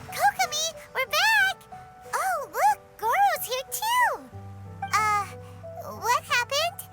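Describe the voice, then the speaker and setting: Loud music sits behind a tiny fairy sidekick, squeaky and extremely high. The excitable, fretful video game character speaks in wildly swinging, childlike exclamations at an unhurried pace. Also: female voice, full character voice. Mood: excited. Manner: refers to self in third person, exclamatory. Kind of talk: animated video game voice acting. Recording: music playing loudly behind.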